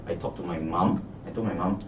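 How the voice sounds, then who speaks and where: A man's voice: low voice, brisk. A middle-aged man with a Singaporean accent, an election candidate answering press questions hesitantly with many restarts. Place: party office media room. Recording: echoey room, far mic, dull noisy sound.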